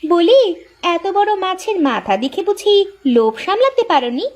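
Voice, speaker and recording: A woman playing a sharp-tongued, jealous younger village wife in a folk-tale cartoon, nagging and sarcastic. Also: very high voice, very animated, moderate pace. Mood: scornful.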